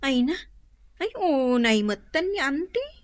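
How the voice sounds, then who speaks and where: A proud Ilocano niece, very high in pitch and swooping in intonation. She retorts with indignation in radio comedy-drama style. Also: big pitch swings, a little slow, dry recording, clean studio sound. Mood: puzzled, offended. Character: indignant niece home from abroad, proud and easily offended.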